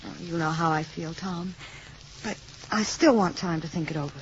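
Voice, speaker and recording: An actress playing a gentle, affectionate mother in a radio drama, polite and tender in manner. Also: lowish voice, moderate pace, lightly acted. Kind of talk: 1950s radio drama acting. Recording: muffled, noisy old radio recording.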